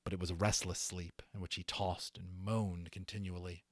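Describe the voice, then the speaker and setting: A man narrating a children's book as an amateur reader, in a dramatic, deliberate storytelling style. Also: low voice, level tone, no echo, clean studio sound.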